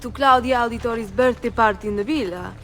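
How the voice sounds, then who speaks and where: A woman's voice: fairly high pitch, fast, fairly bright tone, faint music behind, natural voice. Actress as coy, flirtatious Italian townswoman. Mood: coy, inviting. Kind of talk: video game cutscene acting.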